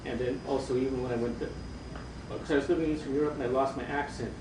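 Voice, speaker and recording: A man in the audience telling a travel story in halting, restarting speech. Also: mid-range voice, steady tone. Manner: halting, many restarts, laughs often. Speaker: audience member. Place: bookstore event room. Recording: echoey room, distant mic, background noise.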